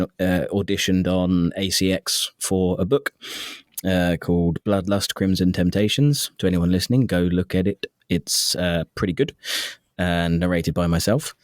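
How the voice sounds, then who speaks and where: Deep-voiced man chatting, dry close sound. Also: a little mellow, no room sound. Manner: self-deprecating, jokey, sweary. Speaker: audiobook narrator, guest. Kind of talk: casual interview chat.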